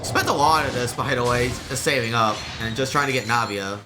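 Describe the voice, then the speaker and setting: A man giving casual live gameplay commentary as a streamer, with mild, low-key, resigned reactions. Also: brisk. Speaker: game streamer. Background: game music.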